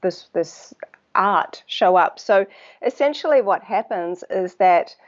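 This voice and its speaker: A woman with a New Zealand accent, a water researcher speaking as a guest in a casual interview, thoughtful and unhurried, often pausing to search for words. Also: medium pitch, lively intonation, dull audio.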